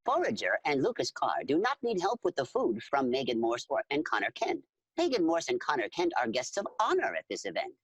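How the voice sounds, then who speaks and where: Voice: mid-range voice, wildly swooping pitch, brisk, slightly rough, no echo, muffled recording. A performer voicing an earnest insectoid alien in an audio drama, speaking formally and literally in the third person. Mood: officious, eager. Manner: formal, literal, repeats full names, refers to self by name. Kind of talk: audio drama character acting and narration.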